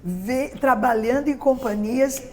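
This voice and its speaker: A woman's voice: high-pitched, unhurried, darkish tone. A woman hosting an interview, encouraging her guest with frequent short affirmations. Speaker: host. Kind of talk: conversational interview.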